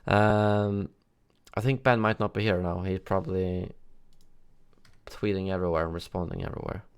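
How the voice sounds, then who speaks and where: A man's voice: deep voice, steady tone, normal speed, smooth. A man hosting a gaming news livestream, chatting casually and answering viewers' chat with frequent fillers and self-corrections.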